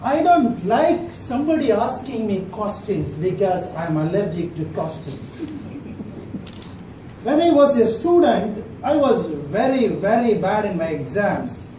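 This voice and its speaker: A man tells a humorous prepared speech at an unhurried pace, pausing for laughs. His voice carries from far off in a roomy hall, with clear background noise underneath. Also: high voice, big pitch swings, dark tone. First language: Tamil. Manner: dry storytelling, pauses for laughs. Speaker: club speaker. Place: meeting hall.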